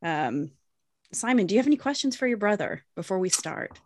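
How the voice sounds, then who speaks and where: Lively, teasing American woman host. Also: medium pitch, brisk. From United States. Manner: teasing, foul-mouthed, lively. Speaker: podcast host. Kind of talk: casual podcast chat.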